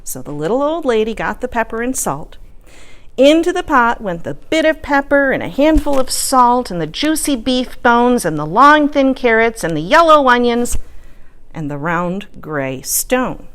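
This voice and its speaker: A woman reading a children's story aloud, expressive and deliberate, with sing-song repetition. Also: fairly high pitch. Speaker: storyteller reading a picture book.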